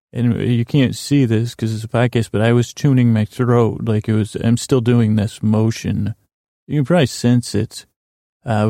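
A man's voice: medium pitch, vocal fry, mellow, no echo, clean studio sound. A man hosting a bedtime podcast, meandering whimsically through a soothing monologue meant to lull listeners to sleep. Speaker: podcast host.

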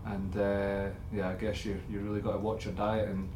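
A young man chats casually in a low, very even voice. The room echoes around him, with faint noise behind. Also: normal speed. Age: young adult. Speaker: co-host, professional rugby player. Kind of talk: casual chat. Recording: roomy echo with faint background noise.

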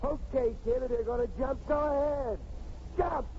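An actor playing a gruff, streetwise American policeman, blunt and urgent, in 1950s radio drama style. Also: very high-pitched, fairly even intonation, moderate pace. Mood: angry, exasperated. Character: gruff, streetwise New York policeman, stubborn but caring. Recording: dull, noisy 1950s radio recording.

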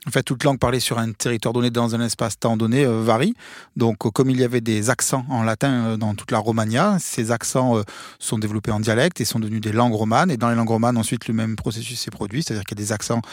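A man with a light Marseille accent, a linguist guest talking in a chatty interview with filler pauses. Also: medium pitch, steady tone, dry recording, clean studio sound. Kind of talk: casual interview.

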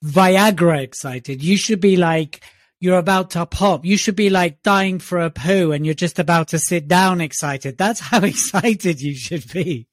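A man's voice: high voice, unhurried, no room sound, studio quality. A man co-hosting a podcast in casual, jokey banter, teasing his partner. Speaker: podcast co-host.

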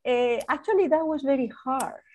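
A woman's voice: sits high, normal speed. A woman from Spain with a Spanish accent, a guest on an interview, searching for words and laughing often as she talks. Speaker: architect, guest. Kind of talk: interview conversation.

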